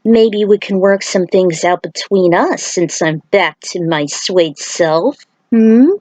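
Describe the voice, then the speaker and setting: A woman's voice: lowish voice, slow pace, a little mellow, dry recording. A woman voicing a cocky, flirtatious male celebrity fighter in slangy story dialogue. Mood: smug.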